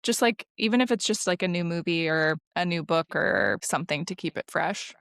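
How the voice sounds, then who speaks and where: A woman in her thirties co-hosting a podcast with a low, gravelly voice. She chats casually and wryly, laughing often, recorded dry on a close microphone. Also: animated, a little fast, fairly bright tone, dry recording. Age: 30s. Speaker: podcast co-host. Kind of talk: casual chat.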